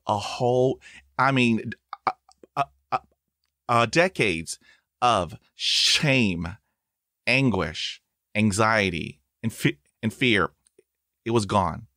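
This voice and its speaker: A man's voice: fairly low pitch, expressive, takes it slowly, long pauses, a bit bright, dry recording, clean studio sound. A man in his forties who stutters, hosting a podcast in a casual, emphatic interview chat. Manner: stutters, with repeated sounds and fillers; emphatic, self-affirming. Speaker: podcast host.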